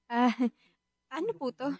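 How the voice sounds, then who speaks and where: An actress plays a young college student in a Filipino radio drama, a dutiful daughter with a fairly high voice. She has a Filipino accent and sounds polite, sunny and expressive. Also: slow pace, dry recording, clean studio sound, full character voice. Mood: polite, grateful.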